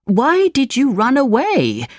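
A woman's voice: deep voice, sing-song, takes it slowly, no room sound, clean studio sound, full character voice. A woman voicing a poor, lonely male woodcarver in a children's storybook, emphatic and fatherly. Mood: exasperated. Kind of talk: children's storybook character reading.

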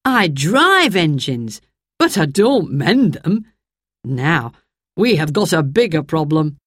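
A woman's voice: sits low, big pitch swings, slow, slightly rough, dry recording, studio quality, exaggerated voice. A woman voicing a stern but kind male train driver in a storybook reading. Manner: stern then gentle.

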